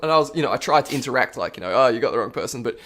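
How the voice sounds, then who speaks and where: A man's voice: mid-range voice, lively intonation, racing, a bit bright. A man co-hosting a casual podcast, rambling through absurd theories and laughing often. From Australia. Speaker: podcast co-host. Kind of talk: casual chat.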